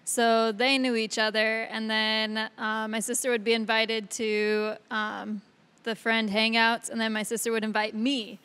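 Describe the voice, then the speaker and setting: A woman's voice: on the high side, little pitch movement, measured pace, bright, forceful tone, smooth. A woman telling a personal story casually as a guest in a conversation. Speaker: panel guest.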